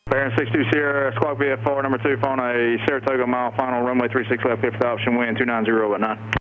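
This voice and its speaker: A male air traffic controller rattles off procedural clearances in a level, matter-of-fact tone. He talks very quickly, and the radio channel is muffled and full of noise. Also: mid-range voice, little pitch movement. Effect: aviation radio.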